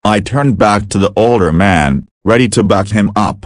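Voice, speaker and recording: Male story narrator, lowish register, deliberate scripted delivery, very dry close sound. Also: no echo.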